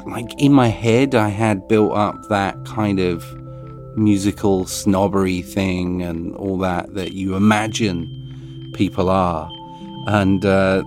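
Male podcast host, lower voice, crumpled papery tone, casual self-deprecating outro. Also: a little mellow. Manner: rambly, self-deprecating, laughs at himself.

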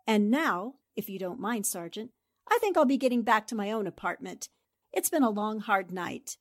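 A woman's voice: medium pitch, animated, moderate pace. A woman playing a shrewd, confident newspaper reporter and amateur sleuth, theatrical and assertive in 1940s radio drama style. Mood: confident, triumphant.

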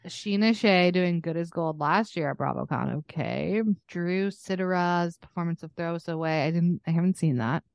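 A woman's voice: fairly low pitch, lively intonation, normal speed, vocal fry, darkish tone, no echo. A woman co-hosting a podcast in a chatty, informal, casual style. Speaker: podcast co-host. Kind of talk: casual chat.

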